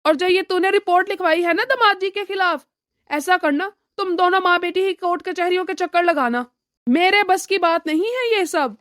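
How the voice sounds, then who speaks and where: A woman voicing a weary, moralizing middle-aged father who lectures his family in an animated drama. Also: very high-pitched, normal speed, no room sound, clean studio sound. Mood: earnest, admonishing. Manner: admonishing, lecturing.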